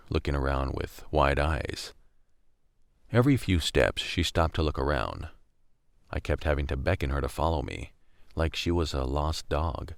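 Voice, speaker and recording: Guy playing a tired jaded survivor dude narrating a radio drama, super deep croaky voice, dry and wry. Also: no echo, exaggerated voice. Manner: world-weary, wry, matter-of-fact. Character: jaded male scavenger in a silent post-virus America, narrating.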